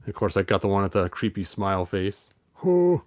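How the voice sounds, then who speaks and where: A man's voice: on the low side, very animated, normal speed, darkish tone, dry recording, studio quality. A man reading a children's story aloud, breaking off for playful, rambling, wry asides in a casual way. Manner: playful, rambling, tosses in sound effects and wry remarks. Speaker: host of a toy and storybook show.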